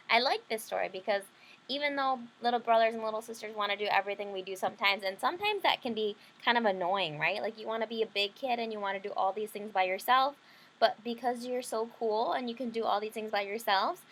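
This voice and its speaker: A woman's voice: on the high side, fast, fairly bright tone, low-quality recording. A woman leading an interactive read-aloud lesson for children, explaining simply and often checking in with questions. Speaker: teacher reading a picture book to children.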